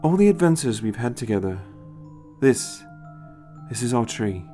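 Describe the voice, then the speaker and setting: A man voicing a practical, slightly snarky woman companion in a storybook, brisk and expressive. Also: medium pitch, soft background music, close to an everyday voice. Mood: wistful. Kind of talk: dramatized storybook reading.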